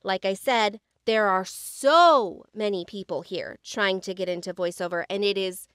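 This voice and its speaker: A woman's voice: mid-range voice, a little slow, fairly bright tone, no echo, studio quality. An American woman co-hosting a podcast about voice acting, speaking earnestly and with emphasis. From United States. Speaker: podcast co-host, voice actor. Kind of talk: casual chat.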